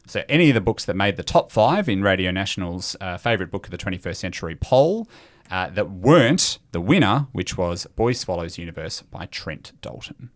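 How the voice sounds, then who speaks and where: A man's voice: low-pitched, big pitch swings, fairly quick. A man with an Australian accent hosting a quiz with casual, chatty banter. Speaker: quiz show host.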